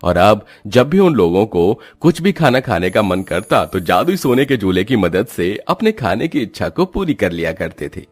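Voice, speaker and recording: A man's voice: lowish voice, husky, no echo. A man narrating a scripted cartoon story.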